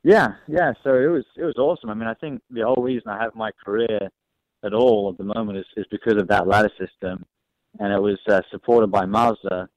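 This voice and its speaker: A man's voice: lowish voice, brisk, darkish tone, no room sound. Easygoing man with a mixed Aussie accent. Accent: mixed Australian and American. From Australia. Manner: easygoing, laughs often, many fillers. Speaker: race car driver guest. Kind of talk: phone-in radio interview. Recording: dry, dull phone-line sound.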